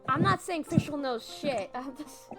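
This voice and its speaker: High-voiced woman chatting over game music. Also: unhurried, fairly bright tone. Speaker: let's play streamer. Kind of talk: casual gaming chat. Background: video game music.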